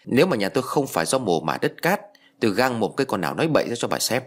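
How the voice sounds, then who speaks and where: Man voicing a hot-tempered old village wife, animated. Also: mid-range voice, very fast. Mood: angry, brusque. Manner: emotional, rustic scolding and lamenting.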